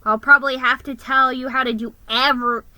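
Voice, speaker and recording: A woman's voice: high voice, steady tone, a little fast, pushed and bright, no room sound. A woman voicing a jealous, hot-tempered little male Christmas elf in a storybook, shrieking and grumbling his complaints.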